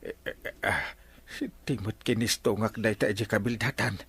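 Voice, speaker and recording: A man's voice: fairly low pitch, fairly even intonation, normal speed, fairly bright tone, husky, dry recording, exaggerated voice. An actor with an Ilocano accent playing a boastful, flustered male village captain in a comedy radio drama, stammering and blustering.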